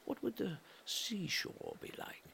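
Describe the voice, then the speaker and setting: A man reading an atmospheric literary story in a measured way, pausing between short phrases, with a gravelly voice that often turns breathy and trails off. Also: high voice, lively intonation, sharp tone, slight background noise. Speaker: reader of a literary audio story. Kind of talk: slow atmospheric literary narration.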